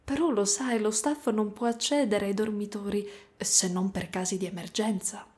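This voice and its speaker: A woman voicing a gentle, doting spider-woman caretaker in a soft, cooing ASMR roleplay. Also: mid-range voice, steady tone, normal speed, lightly acted. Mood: tender. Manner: soft, cooing, tender, sometimes whispering.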